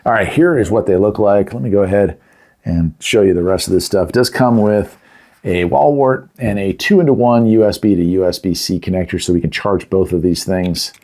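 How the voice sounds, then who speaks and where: A man's voice: sits low, moderate pace, darkish tone. A middle-aged man giving a casual, unscripted product review in a folksy, chatty, plain-spoken way. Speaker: host of a product review channel.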